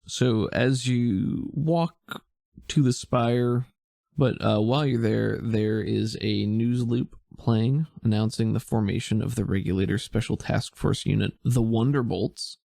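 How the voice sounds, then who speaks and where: A man narrating a tabletop game as game master, describing scenes in a relaxed way with many filler pauses. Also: lowish voice, creaky, no echo, clean studio sound.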